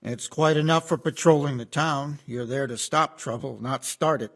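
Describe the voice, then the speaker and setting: An actor playing a sarcastic, dismissive medieval quartermaster in a video game, with a dry manner. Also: male voice, mid-range voice, normal speed, fairly bright tone. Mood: sardonic. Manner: dry, sardonic. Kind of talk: video game dialogue acting.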